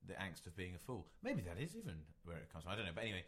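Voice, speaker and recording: A man with a notably deep, low voice co-hosting a relaxed podcast. He talks in a meandering, hesitant way, restarting his sentences often. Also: very fast. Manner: rambling, hesitant, with many restarts. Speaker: podcast co-host. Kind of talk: casual chat.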